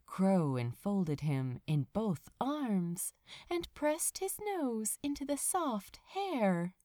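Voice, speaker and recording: Slow, expressive woman reading a story. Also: mid-range voice, dry recording, studio quality. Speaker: amateur audiobook narrator. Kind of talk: expressive scripted fiction narration, storybook reading.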